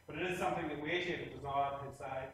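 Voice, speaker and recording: A man with a New Zealand accent giving a presentation on hospital plans, speaking plainly with many pauses and a habit of saying "actually". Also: a little high, steady tone, far from the mic, background noise. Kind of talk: presentation in a lecture series. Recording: very echoey room, voice from afar, faint ambience.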